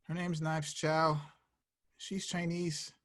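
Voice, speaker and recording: A man playing a defensive, awkward young slacker in a table read, speaking casually and deadpan. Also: on the high side, takes it slowly. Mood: sheepish.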